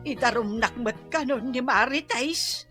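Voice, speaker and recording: A woman playing a remorseful, plaintive villager with an Ilocano accent, moaning in drawn-out lines in a comedy radio drama. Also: on the high side, over music, lightly acted. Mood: worried.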